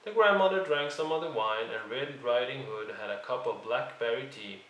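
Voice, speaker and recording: Male storyteller for children's read-alongs: expressive, forceful bright tone, roomy echoing sound. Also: mid-range voice, normal speed. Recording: roomy, distant microphone.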